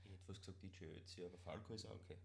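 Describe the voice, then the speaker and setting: A man with an Austrian accent chatting casually as co-host of a live stream, in broad dialect with halting, repeated words. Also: low-pitched, fairly even intonation, creaky.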